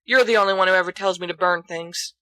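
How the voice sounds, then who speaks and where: A woman voicing an anxious, irritable, bookish teenage schoolboy who talks in a halting, exasperated way. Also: fairly low pitch, fairly bright tone, dry recording, lightly acted.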